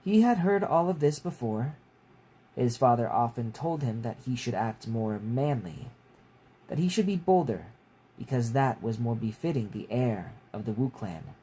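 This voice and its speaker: A man's voice: mid-range voice, expressive, moderate pace, dark tone, low-quality recording. A man narrating a story from his own book as a reader, with casual asides. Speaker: author reading own book. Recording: clear background noise.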